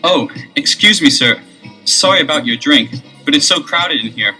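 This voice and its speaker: A curious young amateur detective in an old record-album drama. His voice is sharp and bright, a little high, and sounds easygoing. Faint music plays behind him. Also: lively intonation, moderate pace, low-quality recording.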